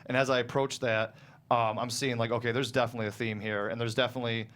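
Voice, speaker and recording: A man's voice: medium pitch, flat delivery, quick pace, fairly bright tone. A man talking casually as a guest screenwriter about his own writing, in a hesitant, rambling way, often chuckling mid-sentence.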